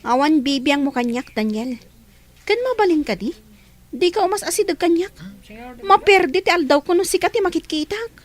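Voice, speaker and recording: Very high-voiced, spoiled young daughter. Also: female voice, animated, normal speed. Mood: irritated. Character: sulky, defiant, spoiled young daughter, a student. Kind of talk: Ilocano radio drama acting.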